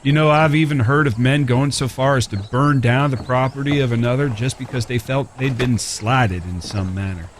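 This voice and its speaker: A man acting in an audio drama as a courteous, smitten Old West drifter with a rough past, speaking folksy, polite lines in an American Western accent. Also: medium pitch, normal speed, few pauses, a little hiss or hum, lightly acted. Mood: advising. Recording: clear background ambience with animal sounds.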